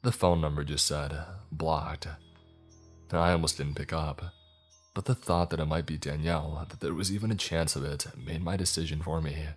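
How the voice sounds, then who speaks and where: Very deep-voiced male horror narrator. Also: steady tone, a little fast, faint music behind. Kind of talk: scripted first-person horror narration.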